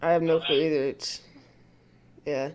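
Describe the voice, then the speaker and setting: A man's voice: fairly high pitch, flat delivery, normal speed, clear, resonant tone. A man making a prank phone call, chatting with an earnest, clueless manner. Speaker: prank caller.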